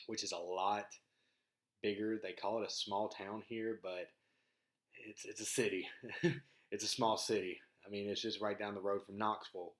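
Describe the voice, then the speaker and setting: A man from rural Mississippi with a Southern accent, talking casually to viewers in a laid-back, halting, folksy way as a vlog host. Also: on the low side. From rural Mississippi, southern United States.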